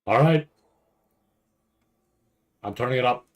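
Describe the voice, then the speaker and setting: A man doing casual livestream reaction commentary, rambling and stammering over repeated words with a nervous, excitable manner. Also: mid-range voice, takes it slowly. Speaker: streamer reacting to a live anime event.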